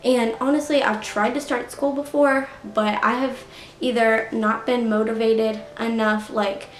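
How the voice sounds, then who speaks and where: A woman's voice: on the high side, flat delivery, a little slow, slight background noise. A woman in her late twenties talking casually to her viewers, full of "like" and "you know". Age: 20s. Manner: chatty, heavy use of "like" and "you know". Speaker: YouTuber. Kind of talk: casual storytime vlog. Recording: echoey room.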